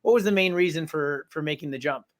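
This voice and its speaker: Man, fairly high pitch, casual interviewer, informal, agreeable. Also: fast. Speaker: podcast host, interviewer.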